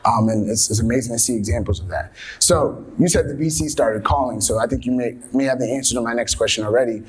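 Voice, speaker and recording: A man's voice: medium pitch, fast talker, breathy edge. A man hosting a live interview, speaking in an encouraging, conversational way as he puts questions to his guest. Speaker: host, interviewer. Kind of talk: live interview before an audience.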